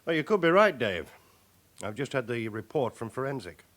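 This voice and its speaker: An actor playing a cautious, middle-aged British police chief inspector in a radio drama, formal and measured. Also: male voice, mid-range voice, big pitch swings, fairly bright tone. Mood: stern, measured. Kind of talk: radio crime drama acting.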